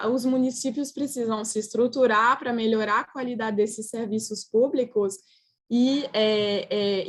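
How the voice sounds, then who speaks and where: Woman, French accent, non-native speaker, fairly high voice, hesitant video-call presenter. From France. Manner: hesitant, frequent filler "é" and restarts. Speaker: panelist. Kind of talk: online roundtable presentation.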